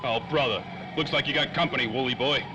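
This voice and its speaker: A man's voice: medium pitch, quick pace, natural voice. An actor playing a world-weary, wisecracking private eye in a 1930s radio play, full of slangy tough-guy talk. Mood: wry, tense. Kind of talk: old-time radio drama acting. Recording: faintly noisy old-time radio recording.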